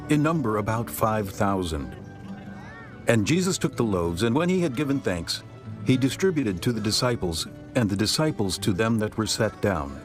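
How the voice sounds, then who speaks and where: Lowish-voiced man narrating scripture over music.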